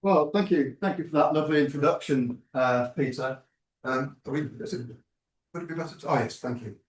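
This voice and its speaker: A man's voice: fairly high pitch, brisk. A man, giving a talk to a club and speaking conversationally with ums and restarts. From England. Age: 60s. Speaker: guest speaker. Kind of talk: public lecture with slides. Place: lecture room. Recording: echoey room.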